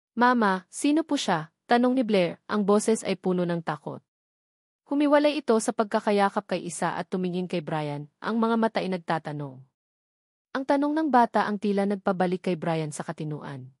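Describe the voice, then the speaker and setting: A woman's voice: mid-range voice, lively intonation, fairly quick, a little mellow, no echo, clean studio sound. A woman narrating a scripted romance story in a dramatic storytelling style.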